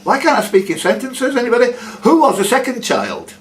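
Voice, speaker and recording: A man's voice: very high-pitched, wildly swooping pitch, brisk, slight background noise. A man hosting a quiz, reading questions aloud and teasing the players with jokey casual banter.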